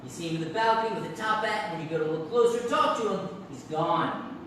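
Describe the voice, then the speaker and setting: A man's voice: fairly high pitch, fast talker, a bit bright, close to an everyday voice. A man playing an eager soap opera star, a casual talk-show guest telling ghost lore matter-of-factly in a radio play. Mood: intrigued. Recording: echoey theatre from far off, faint noise.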